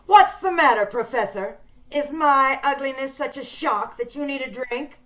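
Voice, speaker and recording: A woman's voice: high-pitched, moderate pace, a bit bright. A woman playing a bitter, imperious, disfigured recluse in an old-time radio drama, theatrical and despairing. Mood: scornful, angry. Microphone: video call. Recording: dull video-call audio.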